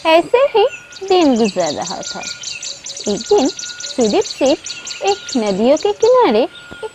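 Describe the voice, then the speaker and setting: A woman's voice: sits high, very animated, slow, noisy background. A woman narrating a scripted children's story as a storyteller. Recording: loud birdsong and ambience behind.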